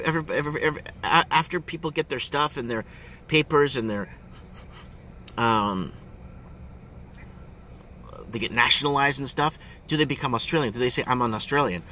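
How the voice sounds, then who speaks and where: A middle-aged man, rambling casually to viewers in an animated way and repeating his questions emphatically. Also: medium pitch. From Ontario, Canada. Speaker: vlogger. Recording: engine and road noise in car.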